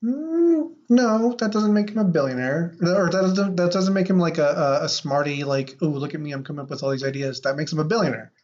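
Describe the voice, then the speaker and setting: Podcast co-host with a mid-range voice, wry and halting, casual chat, muffled lo-fi audio. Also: medium pitch, lively intonation, a little mellow. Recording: dull, lo-fi sound.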